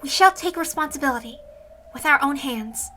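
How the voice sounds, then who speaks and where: A woman's voice: sits high, animated, moderate pace, bright, forceful tone, a little hiss or hum. A woman voicing a solemn, stern ancient horned priestess in a visual novel, with grave, formal delivery. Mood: resolute.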